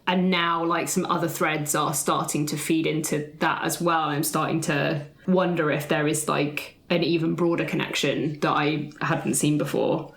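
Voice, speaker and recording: A woman's voice: low-pitched, fairly even intonation, moderate pace, gravelly, few pauses, a bit bright, echoey room, voice pushed down. A woman playing an earnest, searching family-history researcher, talking tentatively and thoughtfully in improvised roleplay.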